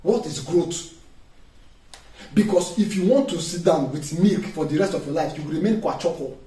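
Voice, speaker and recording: A man's voice: on the high side, moderate pace, mellow. A man, a pastor preaching a sermon with emphatic call-and-response questions to his congregation. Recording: echoey room, distant mic, faint noise.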